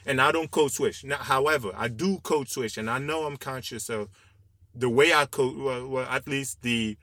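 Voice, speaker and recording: A man with a fairly deep voice co-hosting a casual podcast discussion, talking through his thoughts in an earnest, reflective way. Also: normal speed, bright, forceful tone, no echo. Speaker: podcast co-host.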